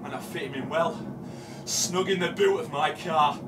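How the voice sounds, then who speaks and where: An actor with a British accent playing a haunted, obsessive man confessing to a killing in a halting, repetitive live horror monologue that swings between hush and shouting. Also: on the high side, noisy background, lightly acted. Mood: hushed, shaken. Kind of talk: live dramatic monologue of horror audio drama. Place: small dim café stage before seated audience. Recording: distant live room mic, music behind.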